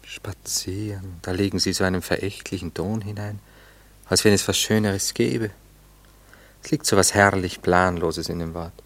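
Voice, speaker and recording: An actor playing an idle, gallant gentleman flirt in a German radio play, teasing and languidly ironic. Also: sits low, steady tone, moderate pace. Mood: languid, wounded irony.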